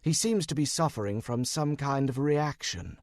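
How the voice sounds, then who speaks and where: A fussy male wizard from an urban fantasy audiobook, played by a man. He speaks precisely and dryly, is quick to exasperation, and his pitch swings widely in a dry, close recording. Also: lively intonation, no room sound, natural voice.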